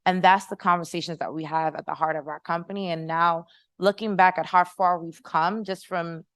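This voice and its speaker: A woman's voice: fairly low pitch, fairly bright tone, clean tone, dry recording. A middle-aged Haitian American woman with an American accent, a startup founder speaking persuasively on a conference panel. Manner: passionate, persuasive, audience-engaging. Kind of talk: panel discussion answer. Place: conference room with audience.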